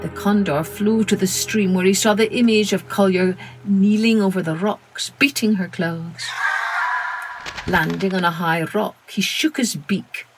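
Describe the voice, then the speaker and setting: A woman narrating a children's story in an expressive, dramatic storytelling manner. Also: mid-range voice, unhurried, music in the background, poor audio. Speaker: storyteller, podcast host.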